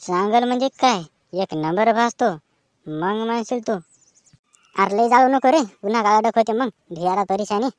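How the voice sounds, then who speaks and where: Comic cartoon performer: woman, higher voice, rural Maharashtrian accent, colloquial banter, dry recording. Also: moderate pace, no echo. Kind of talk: rustic comic cartoon dialogue.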